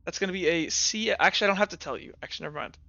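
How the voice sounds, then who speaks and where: A man running a tabletop game, improvising narration and chatting casually in a halting way, often repeating words. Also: sits high, creaky, pushed and bright, dry recording, dull audio. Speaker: game master.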